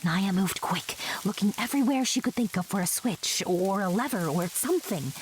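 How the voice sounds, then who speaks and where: A woman playing a chatty, whimsical narrator in a fantasy audio drama, breathy and confiding, with playful asides. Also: mid-range voice, expressive, normal speed, a little hiss or hum, close to an everyday voice, poor audio. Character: chatty, whimsical storyteller fond of her enchanted forest.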